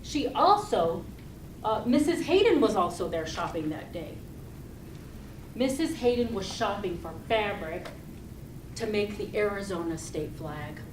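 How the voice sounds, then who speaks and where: A woman giving a rehearsed storytelling speech to an audience, speaking deliberately and expressively with pauses. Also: mid-range voice, very animated. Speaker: club speaker giving a tall tale speech. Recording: roomy, distant mic with loud noise.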